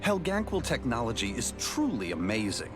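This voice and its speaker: An actor playing a calm, matter-of-fact male scientist in a video game cut-scene. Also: medium pitch, normal speed. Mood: detached. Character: calm male scientist explaining grim history. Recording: game audio with music behind.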